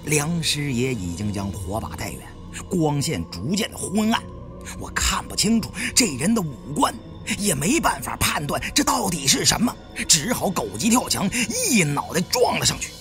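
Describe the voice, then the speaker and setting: A man narrating an adventure thriller audiobook in an expressive, suspenseful storytelling style. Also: on the high side, moderate pace. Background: background music.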